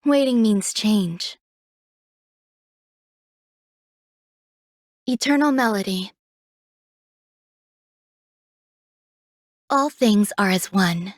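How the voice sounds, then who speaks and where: A woman voicing a refined, coolly detached genius scientist in a video game, speaking in a composed, measured, poetic way. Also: mid-range voice, expressive, no room sound, studio quality. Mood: cool, poised. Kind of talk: video game character voice lines.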